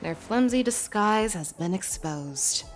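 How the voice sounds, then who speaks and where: An actress playing a shrewd, measured woman general who reasons through clues, in a game cutscene. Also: mid-range voice, lively intonation, faint music behind. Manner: analytical, measured. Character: shrewd, composed woman military leader. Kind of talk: video game cutscene voice acting.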